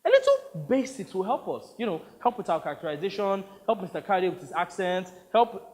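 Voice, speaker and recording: A man's voice: sits high, sing-song, a little mellow, long reverb tail. A man doing an earnest, polite young actor. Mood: careful. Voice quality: measured, respectful delivery.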